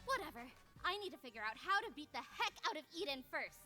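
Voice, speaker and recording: A woman's voice: high-pitched, sing-song, fast talker, over music. A woman voicing a brash, combative young magical girl in a game, cocky and impatient in her delivery. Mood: dismissive. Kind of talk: animated game character acting.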